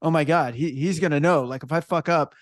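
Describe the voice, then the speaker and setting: A man's voice: a little high, a little fast, no echo. Casual male podcast host, dry sound. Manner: casual, reflective, thinks aloud with fillers. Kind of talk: podcast interview.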